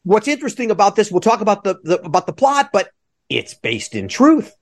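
A man's voice: high-pitched, huge pitch swings, brisk. A man hosting a podcast interview with enthusiasm and joking asides. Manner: jokey asides. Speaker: podcast host.

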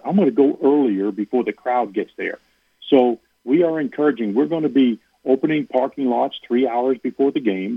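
A gravelly, husky man comes through a tinny phone line. He speaks as a university athletic director in an earnest way, often restarting his sentences. The sound is dry, with no room around it. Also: mid-range voice, dry recording. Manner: earnest, repeats words when starting sentences. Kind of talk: radio call-in interview.

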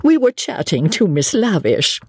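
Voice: mid-range voice, very animated, measured pace, slightly rough, dry recording, studio quality, voice pushed up, exaggerated voice. A reader voicing an older spinster chaperone in an audiobook story, prim, fussy and earnestly admiring. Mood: pleased.

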